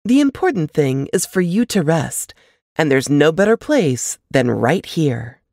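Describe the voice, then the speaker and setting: A protective, practical older sister from a novel, voiced by a woman narrator. She is homely and gently bossy, with a lively, melodic delivery. The sound is dry and close. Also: sits low, a little slow, dry recording, full character voice.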